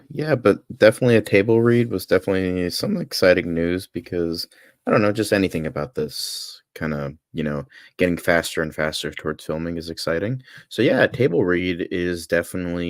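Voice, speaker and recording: A male co-host with a deep voice talks in a casual, fannish style. He is enthusiastic and rambles, circling back to repeat his points. The sound is dry, with no room echo. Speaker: podcast co-host. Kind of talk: casual fan chat.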